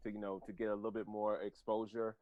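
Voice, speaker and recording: A man in his forties with an American accent talks at a quick clip as a podcast guest. His voice is close and dry, and his phrasing is casual, full of "you know". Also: on the low side, level tone, darkish tone, dry recording, highs cut off. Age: 40s. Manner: informal, peppered with "you know". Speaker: podcast guest, bartender, designer and teacher. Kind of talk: casual interview chat.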